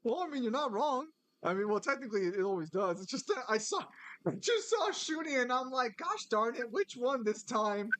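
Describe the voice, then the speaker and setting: A man from the United States streaming a game and chatting casually with friends, animated and swearing freely. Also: extremely high pitch, fairly quick, no room sound. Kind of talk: casual gaming stream chat.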